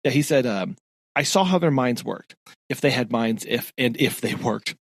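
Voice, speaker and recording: Male co-host, mid-pitched, conversational, backs up his partner, dry close mic. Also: lively intonation, normal speed, gravelly, dry recording. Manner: summarizing and reacting with short agreements. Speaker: podcast co-host. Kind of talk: casual book discussion.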